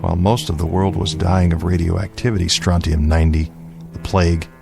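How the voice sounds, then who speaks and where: A stubborn, earnest town leader in a radio play. His low voice crackles with gravel as he speaks in a grave, measured way over loud music. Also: level tone. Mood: solemn conviction. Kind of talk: audio drama acting.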